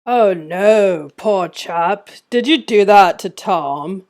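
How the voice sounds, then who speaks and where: A woman plays an unbothered werewolf pack leader in a table read. The voice is mid-pitched and slow, with polite, offhand understatement. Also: lively intonation, a little mellow. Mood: sympathetic. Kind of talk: acting in a film script table read.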